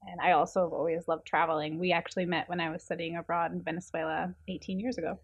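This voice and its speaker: A woman from New Jersey, a podcast guest, chatting casually and quietly. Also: on the low side, highs cut off. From New Jersey, United States. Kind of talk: casual conversational interview.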